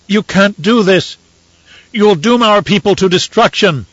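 A man's voice: a little high, expressive, moderate pace, a bit bright, dry recording, muffled recording, voice pushed up. A male narrator voicing a desperate ambassador who pleads and protests with urgent indignation.

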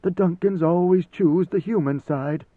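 A man voicing an ancient, godlike ruler who speaks in a calm, knowing, lordly and deliberate way, as in an audiobook. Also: high-pitched, pitched above the performer's own voice. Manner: measured, deliberate, formal. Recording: slightly roomy, phone-like narrow sound.

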